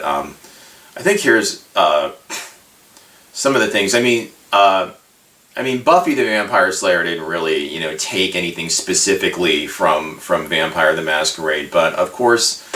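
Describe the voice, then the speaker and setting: Low-voiced man rambling in an echoey room. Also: bright, forceful tone. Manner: halting, hesitant, meandering, informal. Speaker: video host. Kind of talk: casual rambling commentary on a hobby. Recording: roomy echo, distant microphone.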